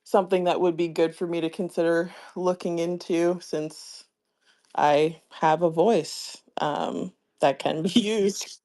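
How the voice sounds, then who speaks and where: A man's voice: a little high, fairly even intonation, slow pace, darkish tone. A man hosting a live-streamed talk show, speaking hesitantly with many fillers as he thinks aloud about his plans. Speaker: show host. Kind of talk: casual conversation on a live stream.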